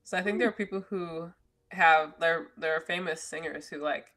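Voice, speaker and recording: A woman's voice: deep voice, normal speed, a bit bright. A woman guest on a podcast, a jazz singer who laughs often and talks casually.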